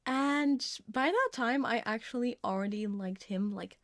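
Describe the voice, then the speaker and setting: Female ASMR storyteller, casual and confessional, unhurried delivery with a light giggly touch, very dry sound. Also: a little high, dry recording.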